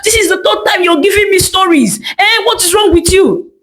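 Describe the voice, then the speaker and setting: Woman acting an exasperated, high-pitched demanding customer. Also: normal speed, a bit bright. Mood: furious. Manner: scolding, insistent. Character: exasperated, demanding woman customer chasing her overdue gown. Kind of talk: storytelling character voice.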